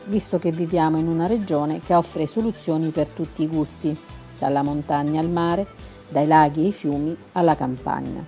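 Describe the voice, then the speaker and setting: Low-pitched woman narrator, mellow, over music. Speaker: TV segment host and narrator. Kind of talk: scripted travel feature narration. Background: music bed.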